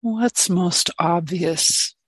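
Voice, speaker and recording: A woman meditation teacher giving guided meditation in gentle, spacious phrases with long pauses between them. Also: lowish voice, slightly rough, no room sound.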